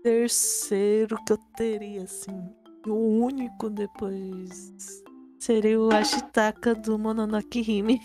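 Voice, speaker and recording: A woman with a Brazilian accent chatting casually as a guest, pausing mid-phrase. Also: medium pitch, fairly even intonation, music in the background. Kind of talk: casual chat.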